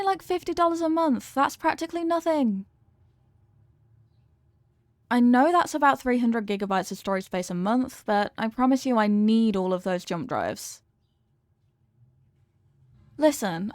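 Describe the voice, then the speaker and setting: A woman's voice: sits high, darkish tone, no echo. A woman playing a cute, obsessive young girlfriend in a comedic audio roleplay, chatting to the listener in a sweet, earnest, rambling and possessive way. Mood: defensive, earnest.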